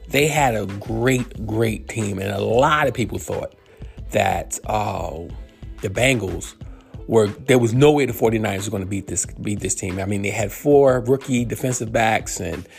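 A man in his fifties, from New York, gives a solo sports talk. His voice sits low with a gravelly edge. He rambles, circling back with fillers and restarts, and faint music plays underneath. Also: unhurried. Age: 50s. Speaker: podcast host. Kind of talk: solo sports commentary monologue.

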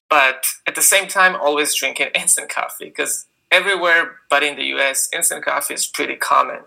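A young man with an Israeli accent, a startup founder answering interview questions casually and haltingly, with many fillers. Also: medium pitch, gravelly, bright, forceful tone, low-quality recording.

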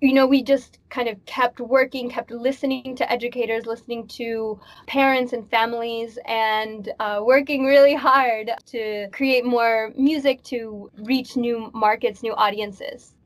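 A woman, a children's music performer, explaining her work clearly as an interview guest. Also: fairly high pitch, fairly even intonation, measured pace, hardly any pauses. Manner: articulate, explanatory. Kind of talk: interview conversation.

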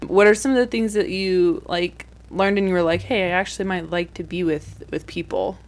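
A woman's voice: on the low side, steady tone, normal speed. A woman hosting a podcast in casual conversation, chatty with many fillers. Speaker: podcast host.

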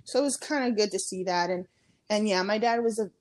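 A woman's voice: medium pitch, a little fast, no room sound. Chatty woman in her thirties, dry sound. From Ecuador. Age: 30s. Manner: chatty, many fillers and restarts. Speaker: guest, comedian. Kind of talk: casual interview talk.

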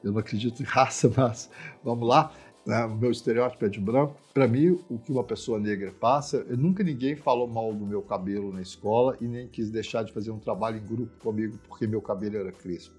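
A man's voice: fairly low pitch, fairly quick, a little mellow, husky, faint music behind. A man with a Brazilian accent from Minas Gerais, explaining social issues informally in a reflective, emphatic way, often adding tag questions.

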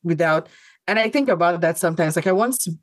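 A woman's voice: on the low side, animated, quick pace, no room sound, clean studio sound. A woman, a podcast co-host whose first language is not English, chatting casually and thoughtfully with many fillers and restarts. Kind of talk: casual chat.

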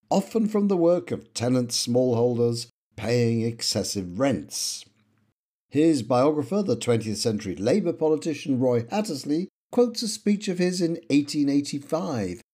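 A man narrates a history podcast from a script, his pitch rising and falling with lively emphasis. His tone is mellow and dark. There is a slight huskiness to it. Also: husky. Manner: measured, lively intonation, reading quotations.

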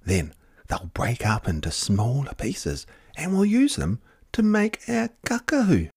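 A man's voice: mid-range voice, wildly swooping pitch, measured pace, vocal fry, darkish tone, slightly rough, pitched above the performer's own voice, full character voice. A man voicing a small, impish, boastful fairy creature with a giggly, crowing manner. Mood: gleeful.

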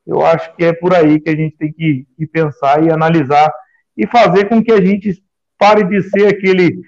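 A man gives an earnest, opinionated view in a casual way. His voice is high, and the audio sounds dull and lo-fi. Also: steady tone, moderate pace, darkish tone. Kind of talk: casual live-stream talk.

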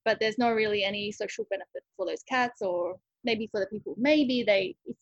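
A woman's voice: medium pitch, brisk, dry recording, highs cut off. A woman who runs a rescue cat cafe, answering interview questions in a chatty way, thinking aloud with many restarts.

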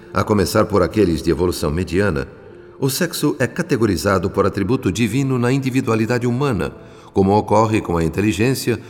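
An actor with a Brazilian accent playing an earnest male spirit narrator in a radio drama, speaking in a measured, solemn, instructive way. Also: fairly low pitch, natural voice. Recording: long studio reverb, music underneath.

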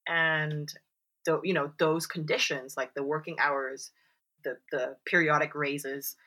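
A woman talks casually in a low voice with a bright, forceful edge. She fills her speech with "like" and "you know", and she acts out the lines of people she quotes. The talk stays loose and conversational throughout. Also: fairly even intonation, a little slow. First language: English. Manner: chatty, many fillers and "like"s. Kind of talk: casual chat.

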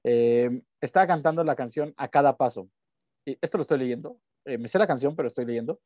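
A man's voice: mid-range voice, a little fast, warm tone, no echo, studio quality. A young man with a Mexican accent, co-hosting a podcast in slangy, rambling casual chat. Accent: Mexican Spanish. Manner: slangy, rambling, breaks into bits of song. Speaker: podcast co-host.

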